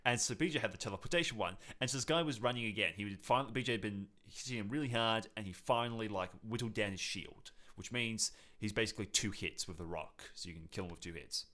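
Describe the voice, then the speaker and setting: A man co-hosting a podcast, chatting casually and telling gaming stories in an animated, emphatic, rambling way. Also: lowish voice, fast, hardly any pauses. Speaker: podcast co-host. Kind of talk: casual chat about multiplayer games.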